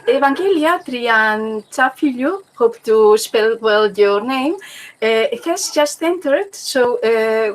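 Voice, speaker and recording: A woman's voice: high-pitched, slight background noise, low-quality recording. A woman with as a moderating an online meeting over a video call, with many fillers and restarts.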